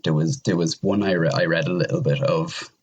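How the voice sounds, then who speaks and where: A man's voice: deep voice, steady tone, fairly quick, darkish tone, husky, dull audio. A man speaking as a podcast guest in a casual discussion of games, rambling and often restarting his phrases. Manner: hesitant, rambling, repeats phrase starts.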